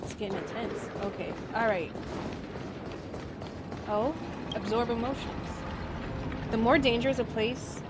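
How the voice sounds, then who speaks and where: A woman's voice: a little high, a little slow, pauses often, heavy background noise. A woman streaming a game, chatting casually and reading text aloud in a playful, self-deprecating way with some swearing. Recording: loud game music behind the voice. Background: video game music.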